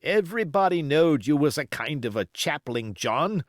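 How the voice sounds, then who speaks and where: A man voicing a rough, grumbling, bloodthirsty pirate coxswain in a storybook, with emphatic delivery and rough sailor grammar. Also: a little high, lively intonation, moderate pace, dry recording, clean studio sound, natural voice.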